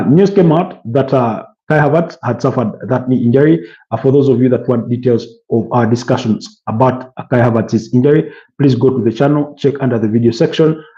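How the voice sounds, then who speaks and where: A man from Uganda talks through football news on his channel's live stream, pausing often with fillers and restarting his sentences. His voice is dark and relaxed, in a middle pitch. The sound is a little dull and lo-fi. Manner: hesitant, many fillers and restarts. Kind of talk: live-stream football chat reading viewer comments.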